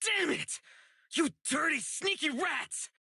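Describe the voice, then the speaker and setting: A man's voice: extremely high pitch, animated, takes it slowly, creaky, bright, forceful tone, no echo, clean studio sound, full character voice. An actor playing an earnest, righteous young male public security officer in a video game, speaking emphatically. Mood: angry, defiant. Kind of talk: video game character voice acting.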